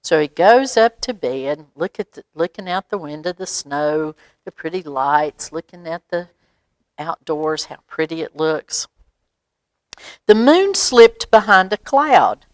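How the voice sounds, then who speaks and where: A woman's voice: low-pitched, no room sound. A woman reading a picture book aloud to children as a library storyteller, stopping for asides and questions. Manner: expressive, pauses for asides and questions to young listeners.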